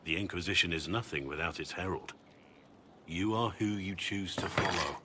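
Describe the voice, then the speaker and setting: An actor playing a grave, weary, duty-bound veteran warrior in a video game, speaking in an earnest, formal, measured way. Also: male voice, sits low, heavy background noise, lightly acted. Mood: reflective. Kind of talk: dramatic video game dialogue.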